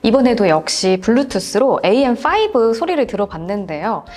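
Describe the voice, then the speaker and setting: A woman host with a fairly high voice and animated intonation presents a product review. Her delivery is polite and conversational, with music underneath. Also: brisk. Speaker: show host.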